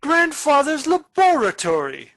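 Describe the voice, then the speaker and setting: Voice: high-pitched, wildly swooping pitch, a little slow, fairly bright tone, heavily acted. An actor playing a menacing, brooding criminal nephew with a theatrical, mock-sweet manner in a stage comedy for radio. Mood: scheming.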